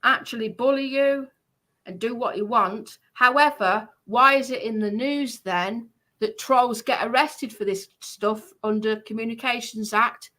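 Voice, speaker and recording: A woman with a bright, forceful voice that sits fairly high. She talks casually but with indignant emphasis, laying out a grievance and throwing in rhetorical questions. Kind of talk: casual chat, recounting a grievance.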